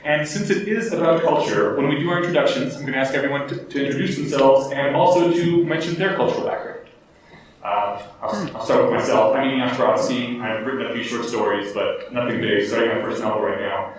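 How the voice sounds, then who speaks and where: A man from Poland moderating a convention panel, measured and lightly wry. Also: mid-range voice, crowd noise. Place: large convention room. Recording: echoey room, far from the mic, clear noise.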